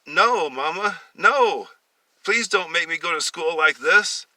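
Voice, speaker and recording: A man's voice: on the high side, animated, takes it slowly, pushed and bright, no room sound, distant mic, voice pushed up, full character voice. A man voicing an exasperated, defiant sixteen-year-old boy in a story reading. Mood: pleading.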